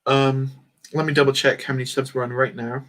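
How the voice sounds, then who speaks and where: A man's voice: mid-range voice, little pitch movement, brisk, fairly bright tone. A man hosting a casual livestream, rambling and answering chat comments while he builds. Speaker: livestream host.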